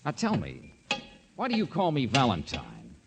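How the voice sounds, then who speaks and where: An actor playing a cocky, wisecracking private detective in a 1950s radio drama, slangy and sardonic. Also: male voice, mid-range voice, huge pitch swings, moderate pace, music in the background. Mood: needling, accusing.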